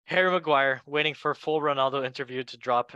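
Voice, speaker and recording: A man hosting a casual sports chat show in a jokey, excitable way, speaking English as a second language. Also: mid-range voice, brisk, clean tone, dry recording, lo-fi sound. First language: not English. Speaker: show host.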